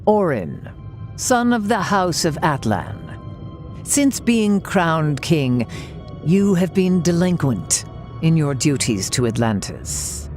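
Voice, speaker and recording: A woman's voice: deep voice, sing-song, slow, a little mellow, soft background music, exaggerated voice. A woman playing a stern, haughty council judge in a video game, speaking formally and solemnly in accusation. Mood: stern, condemning. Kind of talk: video game cutscene acting.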